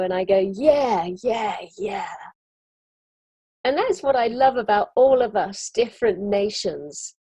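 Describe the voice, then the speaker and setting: A woman from Europe with a husky, soft-edged voice that sits a little high and sounds mellow rather than bright. She talks earnestly and expressively in a casual spiritual chat, laughing now and then. The sound is dry and close, as on a video call. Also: no echo. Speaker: summit guest.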